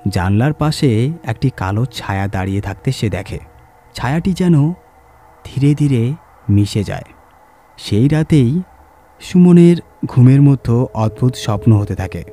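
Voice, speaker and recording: A man's voice: mid-range voice, expressive, measured pace, pauses often, dark tone, soft background music. A man reading a scripted horror story as narrator, in a dramatic storytelling style.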